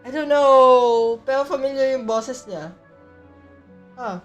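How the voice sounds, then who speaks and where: A woman's voice: high-pitched, takes it slowly, soft background music. A woman with a Filipino accent casually reacting to a game as she streams, playful and teasing, switching between languages. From Philippines.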